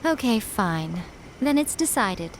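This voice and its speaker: A woman's voice: medium pitch, sing-song, slow pace, background noise. A woman playing an aloof, elegant shrine priestess in a video game, languid, teasing and condescending. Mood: sly, teasing.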